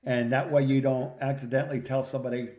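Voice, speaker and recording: A man's voice: mid-range voice, level tone, quick pace, warm tone, reverberant. A middle-aged man co-hosting a podcast over a recorded phone call, chatting casually in a reflective, plain-spoken, jokey way. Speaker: podcast co-host.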